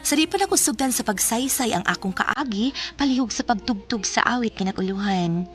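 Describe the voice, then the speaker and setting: A shy college girl, speaks in a high young voice. She is a lovestruck little sister telling her story in a Bisaya radio drama. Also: lively intonation, brisk, fairly bright tone, faint music behind. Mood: earnest, narrating. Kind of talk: Bisaya radio drama acting with intimate narration.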